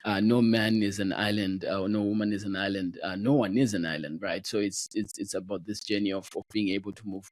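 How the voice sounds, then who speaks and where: Podcast host, man from Zimbabwe, low voice, dry close sound, chatty with lots of fillers. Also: fairly even intonation, fairly quick, hardly any pauses, no echo. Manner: warm, frequent affirming backchannels and filler words. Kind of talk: conversational interview.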